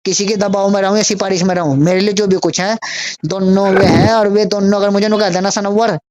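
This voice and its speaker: A man's voice: high voice, fairly even intonation, fairly quick, darkish tone. A man with a western Uttar Pradesh accent speaking fervently and insistently on a recorded phone call, repeating himself to press his point. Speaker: supporter of a local politician. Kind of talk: informal phone conversation.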